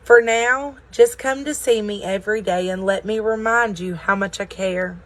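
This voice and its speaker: A woman voicing a kindly, fatherly bearded craftsman in a storybook, speaking gently and thoughtfully. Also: medium pitch, natural voice. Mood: gentle, firm. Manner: thoughtful, slow, gentle, reassuring.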